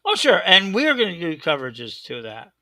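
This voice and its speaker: A man with a thick Chicago accent hosting a casual chat show, talking in an excitable, rambling way and correcting himself often. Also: sits high, a little fast, no echo. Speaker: host. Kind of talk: casual live-stream chat.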